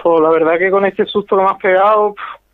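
A man's voice: high-pitched, steady tone, fairly quick, a little hiss or hum. A young man on a phone call, speaking hesitantly and repeating himself. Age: young adult. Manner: hesitant, repetitive. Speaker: prank call victim. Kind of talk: phone conversation.

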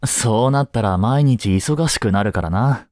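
A man acts out a kind, easygoing male teacher in an intimate voice drama. His voice is mellow and relaxed, and he talks slowly and reassuringly to one student. The recording is close and dry. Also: medium pitch, no echo, natural voice. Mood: reassuring, encouraging. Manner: gentle, unhurried, casual, reassuring with long pauses. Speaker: voice drama performer. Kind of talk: Japanese voice drama, one-sided intimate talk to the listener.

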